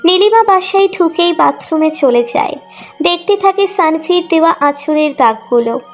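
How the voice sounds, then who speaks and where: A woman's voice: extremely high pitch, expressive, normal speed, soft background music. A woman reading a scripted emotional romantic story as its narrator.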